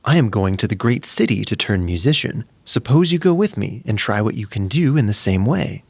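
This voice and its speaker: A man's voice: lowish voice, big pitch swings, brisk, gravelly, no room sound, full character voice. A man voicing a friendly, encouraging old male donkey in a storybook narration. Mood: friendly concern.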